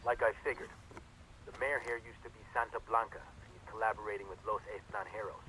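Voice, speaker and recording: An actor playing a sardonic, matter-of-fact field intelligence guide in a video game briefing. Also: male voice, fairly low pitch, little pitch movement, brisk, bright, forceful tone, a little hiss or hum, rough recording. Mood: grim, cautioning. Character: wry, hard-bitten male field intelligence guide.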